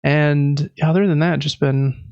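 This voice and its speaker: A man with a slightly high, lightly creaky voice chats casually as a podcast co-host. He is easygoing and talkative. The close, dry recording sounds a little dull. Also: fairly even intonation, a little slow, a little mellow, dry recording. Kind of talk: casual chat.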